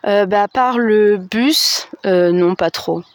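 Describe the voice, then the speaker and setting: A woman with a medium-pitched voice answers questions casually as a passer-by would. She is tentative and searches for words, and the recording is dry. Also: takes it slowly, no room sound. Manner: hesitant, tentative. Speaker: local resident in a street interview. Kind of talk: casual vox pop.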